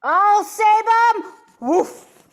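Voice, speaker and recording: Squeaky, excitable little cartoon dog. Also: female voice, drawn-out words, exaggerated voice. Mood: eager, heroic. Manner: excitable, barking between phrases. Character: eager heroic little cartoon dog, surfer talk.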